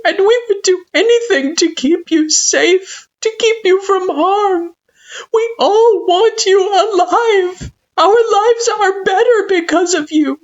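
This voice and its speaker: A woman's voice: a little high, darkish tone, lo-fi sound, pitched above the performer's own voice, close to an everyday voice. A woman voicing a tender, anxious monster mother who speaks with formal care, in a story.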